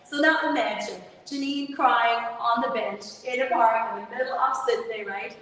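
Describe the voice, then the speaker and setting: A woman hosting an interview, chatting and telling stories in an animated way. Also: a little high, normal speed. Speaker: podcast host, interviewer. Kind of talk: casual interview conversation. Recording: roomy hall, distant mic, faint noise.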